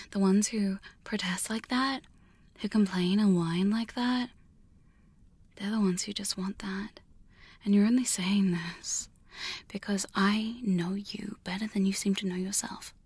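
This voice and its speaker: A woman acts out a sly vampire huntress for an intimate ASMR roleplay. Her voice is husky and airy, often sinking into a whisper, at an unhurried pace. She sounds defiant and coaxing, taunting her foe right up against the microphone. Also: medium pitch, a little mellow, dry recording. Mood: smug, coaxing. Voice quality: breathy, whispery. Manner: taunting, intimate, drawn-out phrasing with sudden firmer lines. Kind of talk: ASMR hypnosis roleplay, second-person. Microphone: close-up studio condenser and binaural microphone.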